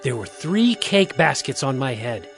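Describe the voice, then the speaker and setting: A man playing an eager royal chief baker in a dramatized scripture reading, with an expressive delivery. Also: mid-range voice, measured pace, faint music behind.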